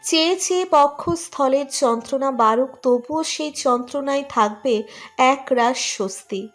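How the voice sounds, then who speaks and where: A woman's voice: sits high, measured pace, a bit bright. A woman reading a scripted romantic story as narrator.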